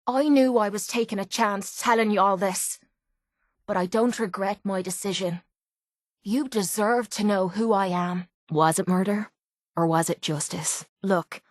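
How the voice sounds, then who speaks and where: A woman's voice: mid-range voice, fairly bright tone, dry recording, studio quality, close to an everyday voice. An actress voicing a tough, foul-mouthed young Irish woman, a bitter and wounded fighter in a video game, with a brash, blunt manner. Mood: confessional, bitter. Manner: brash, blunt, swearing, slangy. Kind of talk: video game character voice acting.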